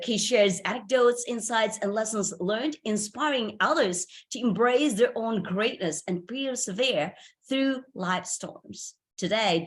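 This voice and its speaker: A woman hosting a club meeting reads a formal speaker introduction over a video call. She speaks in a polished, ceremonial way and stresses her key phrases. Her voice sits at a middle pitch.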